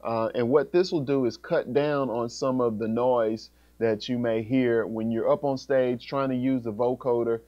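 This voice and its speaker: A man's voice: medium pitch, steady tone, normal speed, few pauses. A man in his thirties with an American accent, explaining gear step by step in a casual, informal tutorial. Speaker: music instructor. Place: small music studio, indoors. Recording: dull sound with humming background noise. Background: electrical hum, fan noise.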